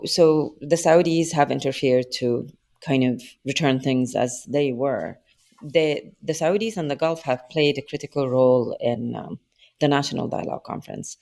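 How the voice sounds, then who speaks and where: A woman's voice: extremely low pitch, creaky, darkish tone. A woman guest analyst in a podcast interview, explaining at length in a thoughtful way.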